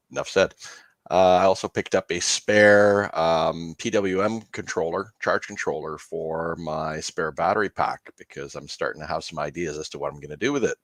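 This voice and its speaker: A man with a low, deep voice talks casually as a podcast panelist. The recording is close and dry, with hardly any room sound. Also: no room sound. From Canada. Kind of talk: casual chat.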